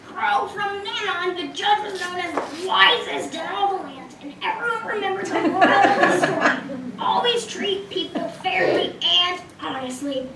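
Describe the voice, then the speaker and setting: Lady narrating a kids puppet show, really high voice, echoey and far from the mic. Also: measured pace. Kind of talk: children's storytelling, scripted narration. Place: community puppet show in roomy space. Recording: echoey room, distant mic, clear noise.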